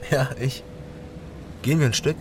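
An actor playing a sober, watchful East German investigator in a radio crime drama, terse, measured and matter-of-fact. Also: male voice, mid-range voice, background noise, close to an everyday voice. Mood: guarded, curious. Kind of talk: radio crime drama, dry first-person narration and dialogue.

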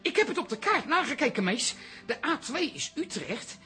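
A woman playing a chatty, excitable older toilet attendant in a radio play, flustered and stammering. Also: high voice, moderate pace, heavily acted.

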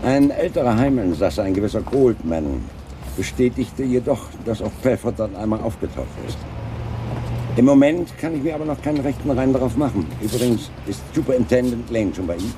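A man acting a cool-headed police chief inspector in a radio crime play. His delivery is brisk and dryly matter-of-fact at a medium pitch, with clear street noise around him. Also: lively intonation, lightly acted. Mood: businesslike. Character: unflappable, dry-humoured London police chief inspector.

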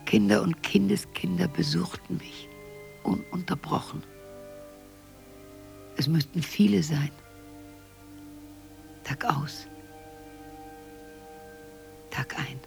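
An old woman looking back on small-town life in a radio drama. Her voice is heavily creaky and breathy. She speaks very slowly and haltingly, reflective and wistful, with faint music behind her. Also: on the low side, full character voice. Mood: wistful, brooding. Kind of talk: poetic radio drama monologue.

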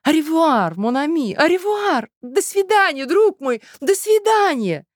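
A scheming society mother from a storybook, sugary and theatrical. Her voice sits high and swoops extravagantly as she coaxes and fusses. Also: big pitch swings, a little slow, dry recording, clean studio sound. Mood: delighted farewell. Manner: theatrical, effusive, peppered with French endearments.

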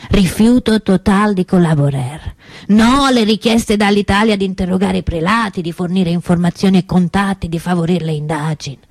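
A reformist preacher in a radio drama, solemn and accusing. The voice is low and mellow with a husky grain, recorded close and dry. The delivery is rhetorical, like a stage sermon. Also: female voice, dry recording, exaggerated voice. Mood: accusing, indignant. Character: impassioned reformist preacher celebrating mass, accusing the Church. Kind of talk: theatrical sermon monologue.

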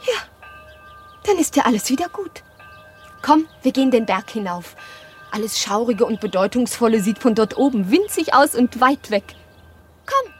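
A woman's voice: fairly high pitch, big pitch swings, moderate pace, pauses often, soft background music. An actress playing a haughty, capricious, mysterious young lady in a radio play, with a languid, theatrical, imperious manner. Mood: coaxing.

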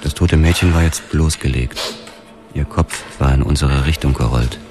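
An actor playing a hardboiled detective who narrates his own story in a radio drama, sober, measured and understated. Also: a bass voice, music in the background. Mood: sober, observant. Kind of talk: scripted first-person radio drama narration.

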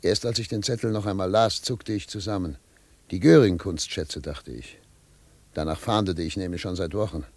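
An actor playing a brisk, self-assured middle-aged American lawyer who narrates and talks in a German radio play, with a theatrical, pondering manner. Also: male voice, sits low, slightly rough, dry recording. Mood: pensive. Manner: theatrical, pondering aloud, repeating words for emphasis. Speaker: narrator and lead character.

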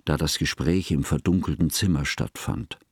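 An actor playing a pedantic narrator in a radio play, giving precise, literary scripted narration. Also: male voice, a bass voice, fairly even intonation, moderate pace, slightly rough, dry recording, clean studio sound. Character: pedantic male narrator correcting details. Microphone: close studio microphone.

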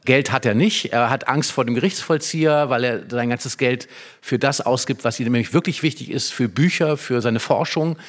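A man's voice: mid-range voice, normal speed. A man speaking as an expert guest, analysing literature conversationally and explaining with examples and small tag questions.

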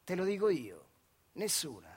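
An actor playing an embittered old retired factory worker in a radio theatre monologue, in earthy, colloquial central Italian dialect from the Marche, moving between hushed and loud. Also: male voice, sits high, wildly swooping pitch, normal speed, slightly rough, full character voice. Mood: wry, reminiscing.

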